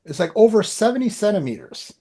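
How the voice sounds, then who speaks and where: A man's voice: sits high, sing-song, quick pace, mellow. A man telling anecdotes in a rambling, informal way as a podcast co-host. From Canada. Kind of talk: casual chat, anecdotes.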